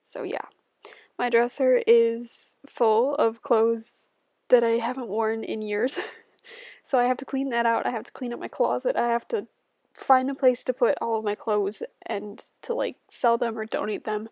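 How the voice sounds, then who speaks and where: Giggly young woman with a gravelly edge. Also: a little high, level tone, unhurried, a little mellow, dry recording, studio quality. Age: 20s. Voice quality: stuffy-nosed. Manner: rambling, giggly, chatty. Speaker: YouTuber. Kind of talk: casual voice-over chat over a game build. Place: at home.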